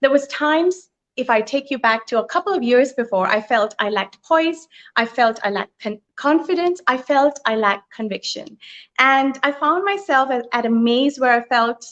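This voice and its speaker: A middle-aged woman from Thailand, speaking as a guest in an earnest, reflective way with frequent pauses. Her voice sits a little high. The sound is dull and lo-fi, as on an online live stream. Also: lively intonation, fairly bright tone. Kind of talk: reflective personal storytelling.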